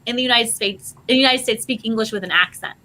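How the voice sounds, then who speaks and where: Bubbly chatty woman on a podcast, kinda high voice, says like a lot. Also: fast talker, sharp tone, low-quality recording. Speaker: guest, language program owner. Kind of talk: casual podcast conversation.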